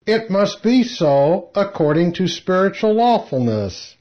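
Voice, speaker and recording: A man reading a scripted spiritual lecture in a measured, deliberate, solemn manner. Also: sits high, big pitch swings. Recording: slightly dull lo-fi recording.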